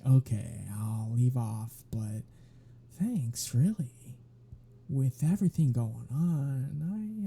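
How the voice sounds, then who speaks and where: A man playing a teasing, protective vampire in an intimate ASMR roleplay, with a wry, soft-spoken, bantering manner. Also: mid-range voice, vocal fry, slightly rough, heavily acted. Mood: grateful, wry. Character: teasing, protective male vampire, dry and flirtatious.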